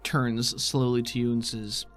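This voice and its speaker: A man's voice: medium pitch, little pitch movement, measured pace, soft background music. A man working as game master, narrating a tabletop roleplaying game in the present tense.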